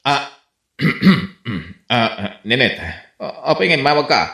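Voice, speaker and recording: Campy, bright Ilocano beauty parlor boss. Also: male voice, mid-range voice, lively intonation, slow, echoey room. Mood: campy, indignant. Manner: campy, drawling, squealing exclamations. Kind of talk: radio comedy drama acting.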